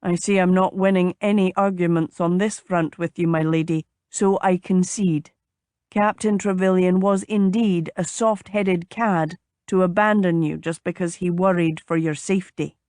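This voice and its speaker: A woman voicing a courteous, gallant gentleman suitor in an audiobook, measured and formal in manner. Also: fairly low pitch, steady tone, dry recording, clean studio sound, natural voice. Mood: playful. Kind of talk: audiobook character dialogue.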